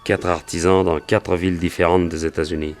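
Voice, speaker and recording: Casting: man playing a low-voiced cowboy gold-hunter, wary and colloquial storyteller, for a radio detective play with music underneath. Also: level tone, a little fast, close to an everyday voice. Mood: explaining, recalling. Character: male cowboy and gold prospector, plain-spoken, wary, recounting his story. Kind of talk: French radio detective drama acting.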